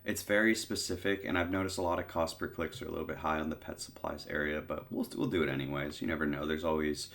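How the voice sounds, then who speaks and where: A man's voice: very deep voice, fast, gravelly, few pauses. A young man with a stuffy, congested voice casually explaining his method in a tutorial, with lots of "um"s. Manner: relaxed, filler-heavy explaining with many "um"s. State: congested from a cold. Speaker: online seller teaching product research. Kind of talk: casual screen-recorded tutorial.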